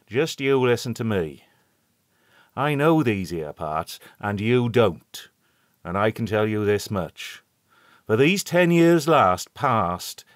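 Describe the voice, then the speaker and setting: A man's voice: fairly low pitch, no room sound, clean studio sound. A man voicing a grave, superstitious old shepherd who talks in a broad rustic dialect. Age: older. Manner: broad country dialect, ungrammatical rustic speech.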